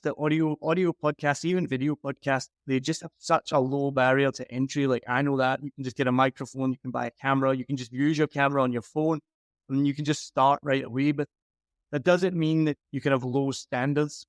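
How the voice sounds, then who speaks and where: Man, podcast consultant, frank and advising, mid pitch, dry close sound. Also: smooth, no room sound. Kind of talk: casual critique.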